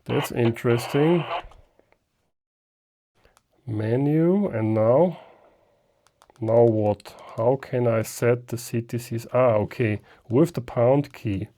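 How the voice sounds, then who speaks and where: Slow, mellow man thinking aloud. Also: mid-range voice, a little hiss or hum. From Austria. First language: German. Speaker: ham radio reviewer. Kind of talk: casual unscripted hands-on gadget demonstration.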